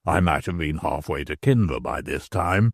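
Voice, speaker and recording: A reader voicing a highwayman captain who speaks in low, clipped tones and curses under pressure. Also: moderate pace, gravelly, husky, no echo, clean studio sound, full character voice. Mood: grim. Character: desperate highwayman captain, curt and cursing.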